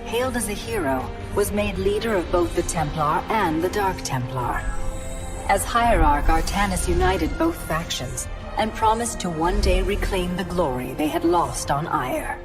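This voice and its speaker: An actress narrating a game's story recap as a solemn chronicler of a science-fiction war, in dramatic scripted style. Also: female voice, lowish voice, lively intonation, measured pace. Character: solemn female chronicler of a sci-fi war saga. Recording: loud soundtrack music behind.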